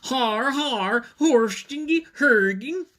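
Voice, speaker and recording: A man voicing a gleefully villainous Norwegian dinosaur from a storybook, loud and exaggerated. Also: very high voice, lively intonation, slow, voice pushed up, exaggerated voice. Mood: evil glee.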